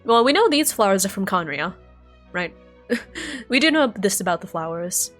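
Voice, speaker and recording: A young woman streamer reacting live to a game, thinking aloud, excitable, swearing and laughing. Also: medium pitch, moderate pace, soft background music.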